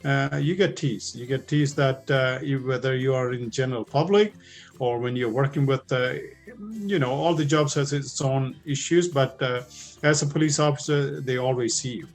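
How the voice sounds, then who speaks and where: A man's voice: medium pitch, moderate pace, soft background music. Man with a thick Indian accent. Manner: conversational, many fillers and restarts. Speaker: police officer speaking at a recruiting session. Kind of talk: informal Q&A talk.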